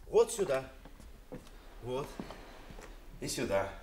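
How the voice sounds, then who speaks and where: An actor playing a shy, awkward, plain-spoken man in a radio play, halting and fumbling for words. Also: mid-range voice, sing-song, noisy background.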